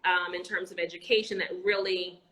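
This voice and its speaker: A woman with a bright, clear voice gives an enthusiastic interview as an educator. She talks casually over a video call, often pausing on "um" and restarting her sentences. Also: lowish voice, fairly even intonation. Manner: enthusiastic, frequent "um" fillers and restarts. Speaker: guest, educator and entrepreneur. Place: Anguilla.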